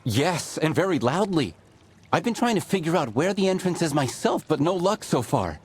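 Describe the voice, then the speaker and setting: High, animated, scatterbrained game character. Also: male voice, normal speed, a little hiss or hum. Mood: chatty, distracted. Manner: breezy, scatterbrained, expressive. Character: chatty, distractible, cheerful male helper. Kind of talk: video game character acting.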